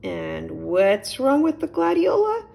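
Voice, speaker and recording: A woman's voice: high-pitched, wildly swooping pitch, slow, vocal fry, slightly rough, pitched above the performer's own voice, full character voice. Woman playing a raised-voice, kindly storybook chipmunk. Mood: curious, puzzled. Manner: reassuring, gentle, inquisitive. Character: kindly, patient male chipmunk friend, gently questioning. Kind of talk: children's storybook character voice.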